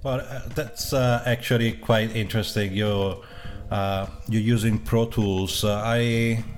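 A man with a low voice hosts a casual interview. He asks questions eagerly but stumbles through many uhs and restarts. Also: steady tone, faint music behind. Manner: halting, many uh fillers, enthusiastic. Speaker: interview host.

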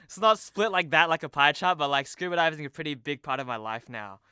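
A young man talks casually as an interview guest, with a bright, forward voice that is exceptionally clean and smooth. The sound is dry and close, and his manner is informal and a little self-deprecating. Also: a little high, animated, fast talker, no room sound. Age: young adult. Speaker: podcast guest, TV promo producer. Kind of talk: casual chat. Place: remote video call.

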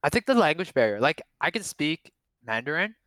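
A man's voice: on the high side, very animated, fairly quick, fairly bright tone, no room sound. A man in his late twenties from Canada, a Cantonese speaker, telling rambling, profane, self-mocking stories in a casual chat. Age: 20s. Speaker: guest.

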